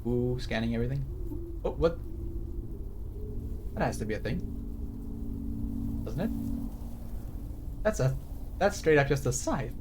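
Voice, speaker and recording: A man's voice: mid-range voice, expressive, measured pace, long pauses, background noise. Self-mocking rambling male streamer. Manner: reads game text aloud. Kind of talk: casual let's-play commentary. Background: game music.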